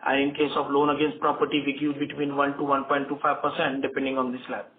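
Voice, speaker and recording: A man with an Indian accent answering analysts as a company executive on an earnings call, haltingly and repeating words, over a phone conference line. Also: medium pitch, monotone, phone-quality sound, slight background noise.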